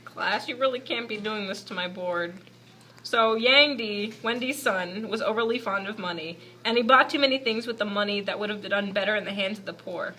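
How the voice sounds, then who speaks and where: A woman's voice: medium pitch, moderate pace, sharp tone, natural voice, rough recording. A woman playing a patient puppet history teacher, delivering a scripted lesson to an unruly class in an amateur show. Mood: instructive, exasperated. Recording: faint background noise, amateur recording.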